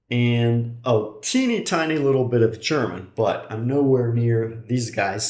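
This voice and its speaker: A man teaching English online, speaking slowly and deliberately for learners. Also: medium pitch. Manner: slow, deliberate delivery for learners. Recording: echoey room.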